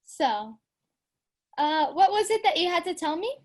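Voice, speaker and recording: A woman's voice: very high-pitched, sing-song, unhurried, full character voice. A young woman doing a frantic grieving mother. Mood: sweet. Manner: stammering, sobbing, doting then furious. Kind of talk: amateur audio drama.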